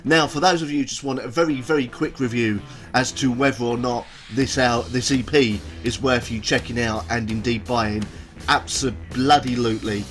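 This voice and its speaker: A man's voice: mid-range voice, fairly even intonation, moderate pace, a bit bright, soft background music. Chatty bunged-up man. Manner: chatty, rambling, many ums and self-corrections. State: stuffy, bunged up with a cold and hay fever. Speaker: music reviewer and show host. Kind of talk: casual video review. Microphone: camera video recording.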